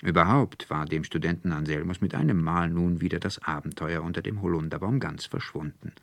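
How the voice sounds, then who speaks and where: Deep, gravelly male storyteller. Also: a little fast, a bit bright, no room sound. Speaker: audiobook narrator. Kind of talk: expressive scripted literary narration.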